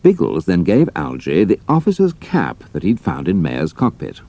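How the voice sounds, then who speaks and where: A man's voice: sits low, huge pitch swings, normal speed, mellow. A man narrating a scripted audio drama as a storyteller.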